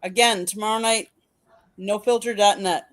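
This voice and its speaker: Woman, podcast co-host, reading plugs and links, steady delivery, mid pitch. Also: moderate pace. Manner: steady, reads out plugs and contact details. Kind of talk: reading show announcements.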